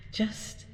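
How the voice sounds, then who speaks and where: A woman playing a seductive, manipulative vampire queen who poses as a therapist in an ASMR roleplay, coaxing and breathy, often slipping into whispers. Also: mellow. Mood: gloating, teasing. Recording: long reverberant echo on voice.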